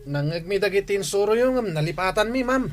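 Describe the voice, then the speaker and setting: A scheming, carefree teenage boy, played by a man in a radio drama. His pitch moves around a lot as he casually cajoles his friends. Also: expressive, a little fast.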